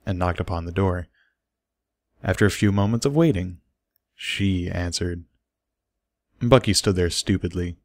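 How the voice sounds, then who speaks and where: A man's voice: deep voice, creaky, no room sound, studio quality. A man reading a scripted story aloud as its narrator. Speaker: story reader.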